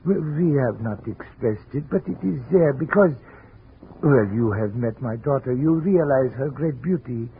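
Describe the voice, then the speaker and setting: An actor playing an anxious older immigrant gallery owner, speaking in halting, earnest phrases in a radio drama. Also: male voice, medium pitch, lively intonation. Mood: anxious, pleading. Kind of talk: 1950s radio drama acting. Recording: muffled, noisy old radio recording.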